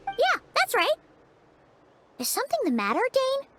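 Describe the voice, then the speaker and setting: A woman's voice: extremely high pitch, huge pitch swings, a little slow, background noise, heavily acted. A woman voicing a tiny, childlike fairy companion in a video game, bubbly, chatty and cheeky, with exaggerated delivery. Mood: eager, explaining. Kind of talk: animated video game voice acting.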